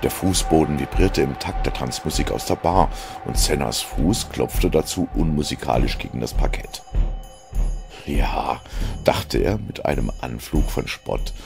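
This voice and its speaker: Male sci-fi audiobook narrator: extremely low, gravelly, dramatic delivery with lively intonation. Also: normal speed. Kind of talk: dramatic scripted science fiction narration. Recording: music behind the narration. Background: music.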